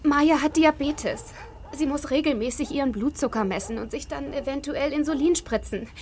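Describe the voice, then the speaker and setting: An anxious, earnest young woman in an audio drama. Her voice sits fairly high, over a faint layer of ambient noise. She sounds like someone in need of help. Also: moderate pace, a little mellow.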